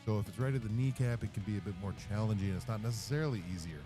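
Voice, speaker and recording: A low-pitched man gives calm, explanatory play-by-play at an outdoor strongman event. Loud guitar music plays behind him throughout. His delivery is steady and informative. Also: very fast. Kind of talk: live play-by-play commentary.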